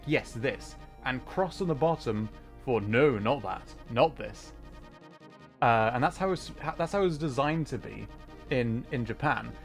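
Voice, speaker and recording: A man giving casual gaming livestream commentary, rambling and thinking aloud as he plays. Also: mid-range voice. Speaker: livestream host, gamer. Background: music.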